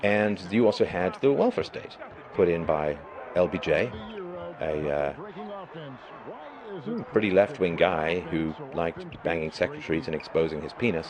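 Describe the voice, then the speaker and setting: A middle-aged man speaks in a very low, hoarse voice and lectures emphatically in long spoken runs. His stressed points jump up in force. A crowd murmurs behind him. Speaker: live stream host. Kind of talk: monologue commentary.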